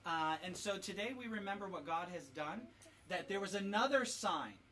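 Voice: medium pitch, lively intonation, moderate pace. A parent leads a family Bible lesson in an instructive, quizzing manner, often repeating answers back. The voice is picked up from a little distance, with faint noise behind it. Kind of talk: teaching children with questions. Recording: distant mic with light background noise.

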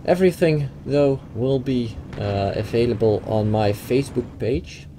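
Dutch guy narrating a tank game replay, mid pitch, kinda halting, game noise under him. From Netherlands. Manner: hesitant, with restarts and fillers. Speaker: gaming YouTuber. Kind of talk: gameplay commentary over a replay.

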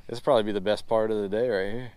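Excitable young man fishing outdoors. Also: lowish voice, rapid-fire, smooth. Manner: excitable, laughing, friendly trash talk. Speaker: fishing vlog host. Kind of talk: casual chat while fishing. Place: small boat on a lake, outdoors.

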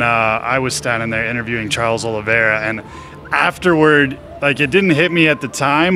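A man's voice: mid-range voice, sharp tone, a little hiss or hum. A young man hosting a casual interview, asking chatty questions outdoors into a handheld mic. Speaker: interviewer host. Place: outdoor rooftop terrace, city traffic behind.

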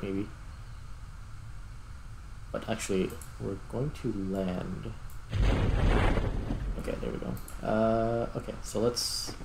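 Low-ish guy doing chill gameplay commentary, thinking out loud, some background noise. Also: creaky, rough recording. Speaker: host, gamer.

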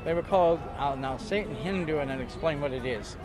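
A man's voice: on the high side, fast. An older man in casual conversation outdoors on a waterfront pier, talking in a chatty, theatrical, joking way. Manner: chatty, theatrical, jokey with bursts of loud laughter. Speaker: interviewee. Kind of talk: casual storytelling. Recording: outdoor street noise behind.

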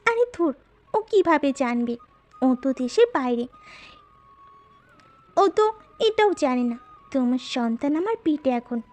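A woman plays a scheming wife in a romantic audio drama. Her voice is high, flirtatious and coaxing, with an intimate manner, and faint music sits underneath. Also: sing-song, a little slow, long pauses, a little mellow. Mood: sly, affectionate. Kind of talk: audio love-story drama acting.

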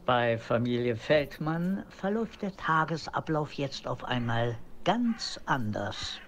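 An actor narrating a police crime radio play in a scripted storytelling manner. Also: male voice, medium pitch, very animated, takes it slowly, fairly bright tone, slight background noise, full character voice.

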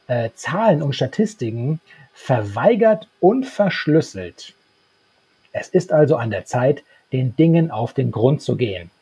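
Heiserer, weich klingender Podcaster. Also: male voice, medium pitch, dark tone, slightly rough. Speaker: podcast co-host. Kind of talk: podcast talk, partly read summary. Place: small book-lined room at home. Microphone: handheld-style recorder microphone close up, earphones.

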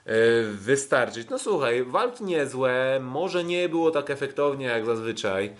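A man's voice: medium pitch, animated, a little slow. A man co-hosting a sports podcast in casual, unscripted talk, hesitant and often repeating himself. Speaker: podcast co-host and kickboxing commentator.

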